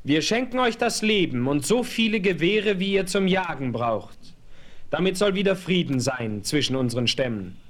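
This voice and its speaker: A man's voice: sits high, expressive, moderate pace. A man playing a noble, commanding Apache chief who speaks formally and declaims in a German radio play. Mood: proclaiming.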